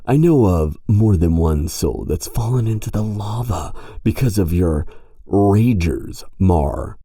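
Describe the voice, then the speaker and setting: A man's voice: lowish voice, animated, takes it slowly, dark tone, breathy edge, no echo, studio quality, exaggerated voice. A man voicing a prim, indignant angel in an audio roleplay, formal and earnestly persuasive. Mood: earnest, reproachful.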